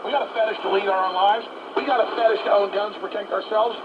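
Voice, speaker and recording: A man's voice: high voice, fairly even intonation, fast talker. A man hosting a talk show, delivering an indignant, emphatic political commentary on a muffled, noisy shortwave radio broadcast.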